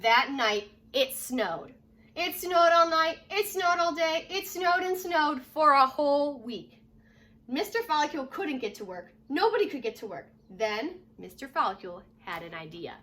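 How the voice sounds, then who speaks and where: A woman's voice: high-pitched, a little slow, slight background noise. A woman reading a children's storybook aloud as a teacher would, expressively, leaning on key words. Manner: expressive, stresses repeated words, asks listeners questions.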